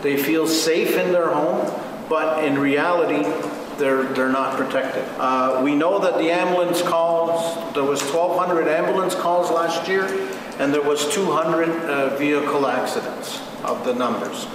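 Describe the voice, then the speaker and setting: A man playing an earnest community safety expert who explains facts at a meeting in a halting, matter-of-fact way. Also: fairly high pitch, fairly even intonation, lightly acted. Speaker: community protection resource person at a meeting. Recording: very echoey noisy hall, far from mic.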